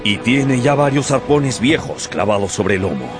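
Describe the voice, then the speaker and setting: An actor playing a haunted, superstitious whaling captain in a radio drama, speaking with dramatic emphasis. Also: male voice, medium pitch, very animated, normal speed, lightly acted. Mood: awed, bitter. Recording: radio drama with music behind.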